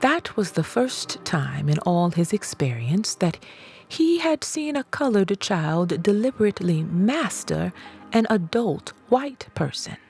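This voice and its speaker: A woman reading an audiobook as a dramatic storyteller, deliberate and expressive in her scripted narration. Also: medium pitch, vocal fry, soft background music.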